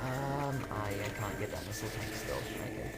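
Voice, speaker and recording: A man chatting casually as he plays, with a very lively, swooping delivery. He rambles and jokes, breaking into exclamations. Game music runs clearly behind him. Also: lowish voice, normal speed. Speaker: let's play host. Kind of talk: casual gaming banter. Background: video game music.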